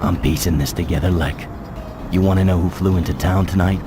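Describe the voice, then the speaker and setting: A man's voice: low-pitched, steady tone, a little fast, over music, close to an everyday voice. An actor playing a tough, guarded undercover wheelman in a video game, laconic and understated. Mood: cool, guarded. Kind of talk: dramatic video game cutscene acting.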